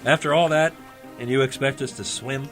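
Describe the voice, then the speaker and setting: A man's voice: medium pitch, fairly even intonation, normal speed, over music, close to an everyday voice. A man playing a cocky young ice superhero in an audio drama, wry and wisecracking.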